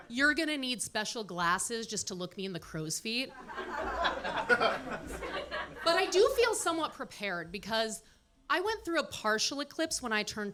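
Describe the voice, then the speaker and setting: A woman's voice: on the high side, noisy background. A woman in her late thirties performing a stand-up set at a comedy club, delivering dry, self-deprecating punchlines. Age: 30s. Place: comedy club stage with live audience.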